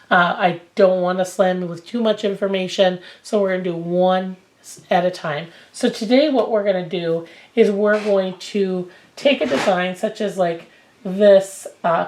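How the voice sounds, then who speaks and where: A woman's voice: lowish voice, darkish tone. A woman in her forties, getting over a cold, explains a machine-embroidery quilting technique in a chatty, rambling way, filling gaps with ums and restarts, recorded on a camera mic in a cluttered home sewing room. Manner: chatty, many fillers and restarts. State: recovering from a cold. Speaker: sewing instructor. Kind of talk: casual how-to demonstration.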